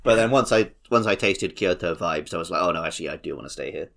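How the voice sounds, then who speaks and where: A man's voice: low voice, fast, gravelly, a bit bright. A man with an English accent co-hosting a podcast, telling stories in a casual, conversational way. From English countryside. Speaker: podcast co-host.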